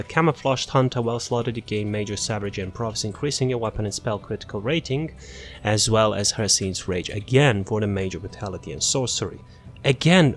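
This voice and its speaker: A man with a fairly low voice talks through a video game build. His delivery is casual and offhand, wandering a little as he explains. He comes across as self-deprecating and unbothered about getting everything perfect. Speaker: gaming video presenter.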